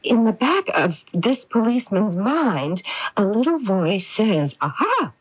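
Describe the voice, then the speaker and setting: A woman's voice: medium pitch, very animated, measured pace, slightly rough, dry recording. A slick middle-aged newspaper lady in an old radio play, evasive and emphatic, dry muffled sound. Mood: persuasive, defensive. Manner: theatrical, emphatic, repeats words. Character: middle-aged newspaperwoman, worldly, evasive, sharp-tongued, smoothly self-justifying. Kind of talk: 1980s radio mystery acting. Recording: dull, dry lo-fi radio broadcast.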